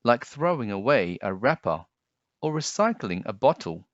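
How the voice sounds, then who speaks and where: A man reading a picture book aloud and then talking to children about it, explaining things gently and simply. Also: medium pitch, very animated, normal speed, no room sound, dull audio.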